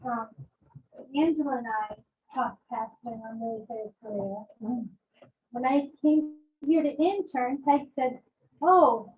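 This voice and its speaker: A woman with a high voice tells a chatty story from the audience. She sounds distant and muffled, with faint noise around her. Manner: chatty storytelling, quoting others. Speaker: museum intern, audience member.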